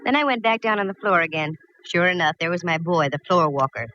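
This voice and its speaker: A woman's voice: lowish voice, lively intonation, fast, noisy background, natural voice. An actress as a breezy female private eye, muffled old radio. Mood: cool narration. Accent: American. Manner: breezy, wry, commanding. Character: wisecracking, confident female private eye narrating her case. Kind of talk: 1940s-50s radio detective acting and narration.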